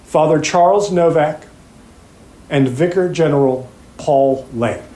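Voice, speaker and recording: A methodical male prosecutor, played by an actor, lays out his case to a jury in an audio drama. His voice sits a little high for a man and rings in an echoing room. He speaks deliberately and pointedly, leaving rhetorical pauses between phrases. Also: mellow. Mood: measured, serious. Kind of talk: courtroom opening statement, audio drama monologue. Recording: roomy, echoing sound.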